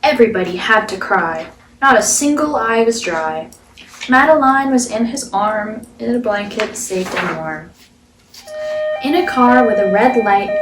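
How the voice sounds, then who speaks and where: A woman's voice: medium pitch, roomy sound, far from the mic, noisy background. A woman storyteller hosting a read-aloud show, reading a picture book expressively for children.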